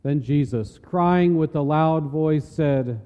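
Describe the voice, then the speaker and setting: A man's voice: on the high side, slow pace, dark tone. A man narrating a solemn, dramatic scripture reading. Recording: long reverb of a large hall.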